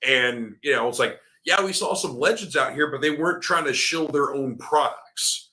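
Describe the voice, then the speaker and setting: A man's voice: fairly high pitch, normal speed, pushed and bright. A middle-aged man hosting a live-streamed wrestling talk show, rambling and opinionated with lots of fillers, his voice slightly scratchy from a cold. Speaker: wrestling podcast host.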